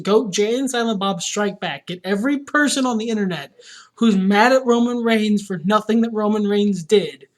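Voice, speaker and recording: A man co-hosting a podcast in casual chat, wry and profane, arguing his points. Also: high-pitched. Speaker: podcast co-host.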